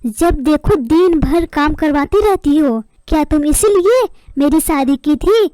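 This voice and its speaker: A woman's voice: sits high, expressive, a little slow, warm tone, no room sound, low-quality recording. A woman voicing a rude, bossy grown son bird in a melodramatic cartoon, scolding and defiant. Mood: angry, scolding.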